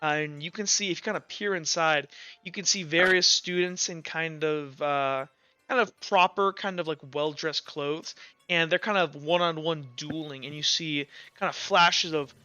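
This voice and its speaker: A man working as game master, improvising tabletop roleplay narration in informal, halting pieces. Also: fairly high pitch, pushed and bright, clear, resonant tone, no room sound. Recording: slightly dull audio, music underneath. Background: music.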